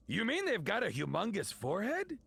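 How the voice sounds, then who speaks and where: A brash, comic alien crewmate from a cartoon game. The voice is high and gravelly and swings wildly in pitch. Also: male voice, wildly swooping pitch, brisk, background noise, full character voice. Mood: amused bluntness. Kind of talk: animated video game dialogue.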